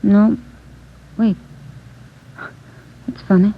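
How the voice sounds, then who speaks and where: An actress playing a polite, soft-spoken doctor's nurse in a 1940s radio drama. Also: female voice, mid-range voice, little pitch movement, very slow. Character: polite young doctor's nurse at a front desk. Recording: dull lo-fi 1940s radio broadcast.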